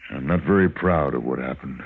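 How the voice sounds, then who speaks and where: A sorry, humbled american old west townsman, low voice, halting, muffled vintage radio drama. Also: breathy edge, full character voice. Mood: contrite. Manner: halting, contrite. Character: remorseful male frontier townsman, humbled. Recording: dull vintage radio recording.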